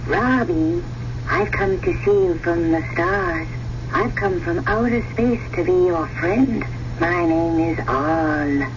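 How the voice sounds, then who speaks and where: Give this the sound of a friendly alien visitor in a vintage radio drama. He introduces himself as a being from space. The recording is muffled, with clear noise around the voice. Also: sits high, takes it slowly. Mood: friendly, solemn. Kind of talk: 1970s radio drama acting. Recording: dull, noisy old radio recording.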